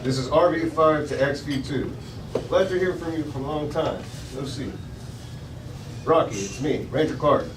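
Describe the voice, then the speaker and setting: A man's voice: fairly high pitch, moderate pace, lightly acted. A man playing a wry, teasing space station ranger, reading lines from a retro space serial. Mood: friendly, brisk. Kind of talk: 1950s space serial dialogue, read aloud. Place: busy restaurant table read. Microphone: distant camera microphone. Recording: echoey noisy restaurant, far from camera.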